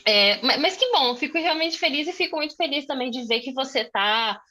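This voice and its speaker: A woman's voice: high voice, lively intonation, fairly quick, sharp tone. A young woman from Brazil moderating a conversation on a video call, thinking aloud with many fillers and restarts. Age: young adult.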